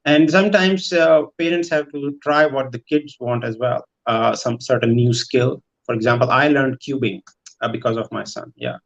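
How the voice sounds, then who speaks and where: A man speaking as a panelist in a thoughtful discussion, in a hesitant, halting way. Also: medium pitch, gravelly, dull audio.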